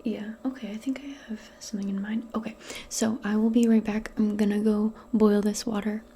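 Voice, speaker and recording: A woman speaking softly and breathily, often in a whisper, in a gentle, hesitant ASMR roleplay as a modern witch. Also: mid-range voice, level tone, slight background noise. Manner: gentle, unhurried, hesitant and improvised. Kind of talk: soft-spoken, whispered ASMR personal attention roleplay.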